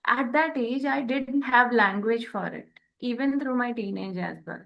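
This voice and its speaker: A woman in reflective spiritual conversation, speaking in short phrases with frequent pauses. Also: a little high, vocal fry, highs cut off. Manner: halting, pausing between short phrases. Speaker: podcast co-host.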